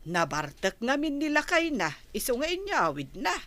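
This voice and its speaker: A woman's voice: sits high, sing-song, moderate pace, a bit bright, no room sound. A woman playing a nosy, irritable village wife who nags and gossips, in a radio drama with an Ilocano accent. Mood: gossipy. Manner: nagging, exclamatory, gossipy. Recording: noticeable background noise.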